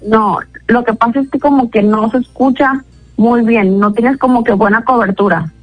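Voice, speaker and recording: A woman's voice: fairly high pitch, fairly even intonation, moderate pace, faint music behind. A woman on a phone call, patient and polite, often laughing as she answers a caller's odd questions. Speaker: prank call target. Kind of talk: phone conversation.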